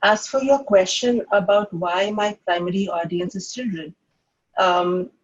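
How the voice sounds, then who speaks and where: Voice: medium pitch, unhurried, husky, highs cut off. An adult children's author who stammers, answering at a panel with frequent repeated sounds and hesitations.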